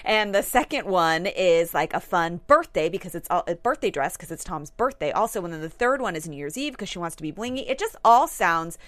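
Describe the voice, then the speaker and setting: A woman's voice: on the low side, lively intonation, fairly quick, few pauses, a bit bright, no room sound. A woman co-hosting a casual gossip chat, talking frankly and swearing casually. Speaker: co-host.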